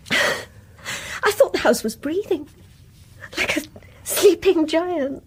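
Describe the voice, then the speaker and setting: A woman's voice: very high-pitched, animated, drawn-out words, exaggerated voice. An actress playing a lonely, dreamy, troubled married woman in a radio drama, with a British accent and a confessional, sighing manner. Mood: dreamy, wistful.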